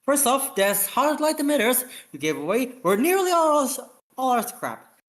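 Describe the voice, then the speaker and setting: An amateur reader voicing an exasperated spaceship lieutenant from a sci-fi story, scolding in an indignant, halting way. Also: high voice, big pitch swings, a bit bright, pitched above the performer's own voice.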